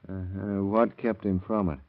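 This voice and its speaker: Male actor as tactful detective, lowish voice, hesitant courteous questioning, 1930s radio drama, dull vintage sound. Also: level tone, normal speed, lightly acted. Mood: careful. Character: tactful, probing police investigator, hesitant and polite.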